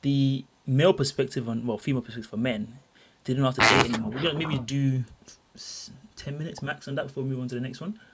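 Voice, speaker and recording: A man's voice: mid-range voice, fairly even intonation, fast talker, over a noisy crowd. A man hosting a casual livestream group chat, chatty and apologetic in his asides. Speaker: livestream host.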